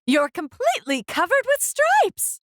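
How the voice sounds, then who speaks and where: An alarmed, bossy storybook mom, put on by a woman reader. Her voice rides high and swoops dramatically as she fusses over her child. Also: huge pitch swings, a little slow, fairly bright tone, no echo, clean studio sound, full character voice. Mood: shocked, worried. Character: alarmed, bossy mother of a young girl.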